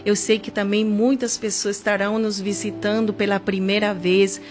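A woman's voice: mid-range voice, level tone, normal speed. Female prayer leader, Brazilian accent. Mid-range voice, fervent extemporaneous evangelical praying with insistent repeated invocations, music underneath. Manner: fervent, repetitive invocations, stretching emphatic words. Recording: music playing behind the voice. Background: background music.

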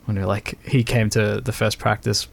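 A man in a band chatting on a radio show, telling stories excitably with lots of dudes and likes. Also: on the low side, normal speed, vocal fry. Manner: excitable storyteller, says dude and like a lot. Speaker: band member guest. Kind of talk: casual radio interview chat.

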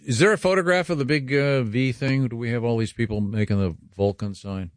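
A man's voice: medium pitch, fairly quick, dry recording. A man hosting a radio show who keeps asking curious questions in casual banter, recorded in a studio. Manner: curious, asks many questions.

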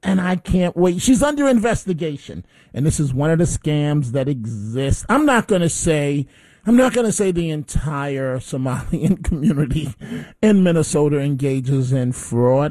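A man's voice: on the high side, mellow, no echo. A man hosting a talk radio show, rambling and combative, speaking on a studio microphone.

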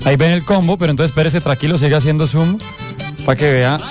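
A man's voice: a little high, fast talker. A man in his thirties with a Colombian accent, playfully telling slangy stories as a radio co-host. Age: 30s. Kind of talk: casual radio banter. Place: broadcast studio. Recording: music playing behind. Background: music.

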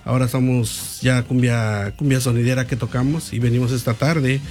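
A man's voice: mid-range voice, steady tone, moderate pace, darkish tone, soft background music. A middle-aged man from Puebla with a Mexican accent, a humble and chatty band leader talking about his group.

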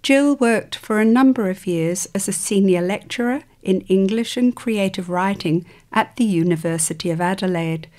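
A woman hosting a program, reading a formal guest introduction and asking questions in a clear, composed way. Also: medium pitch, moderate pace, hardly any pauses, a little mellow. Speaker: radio program host.

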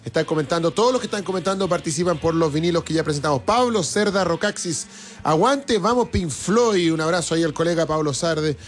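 A man's voice: on the high side, fairly quick. A man with a Chilean accent hosting a live music show, chatting and reading out listener comments, often restarting and repeating words. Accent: Chilean Spanish. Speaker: radio show host.

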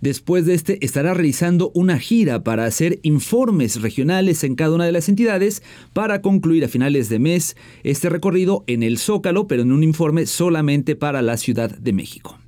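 A man's voice: on the high side, lively intonation, normal speed, warm tone. A man with a Mexican accent presenting radio news in a partly scripted, partly conversational style as host.

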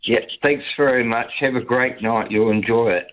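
A man's voice: mid-range voice, steady tone, moderate pace, a bit bright. A man chatting casually as a caller to a radio phone-in, on lo-fi call audio. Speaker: talkback caller.

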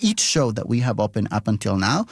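A man's voice: on the low side, very animated, fairly quick, no echo, clean studio sound. A man from El Salvador, a guest explaining his research earnestly and often checking in with "right?". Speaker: guest, biennial curator and researcher. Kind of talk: conversational panel talk.

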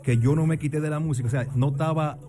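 Man, 30s, Dominican accent, dark mellow timbre, earnest radio guest, studio booth. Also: on the high side, fairly even intonation, fast, faint music behind. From Switzerland. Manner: earnest, upbeat, repeats affirmations. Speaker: guest musician. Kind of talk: radio interview.

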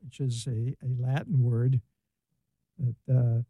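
An older man, a priest as a guest on a talk show, explaining church teaching in a halting, gentle way with many pauses. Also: mid-range voice, fairly even intonation, breathy edge, no room sound, muffled recording.

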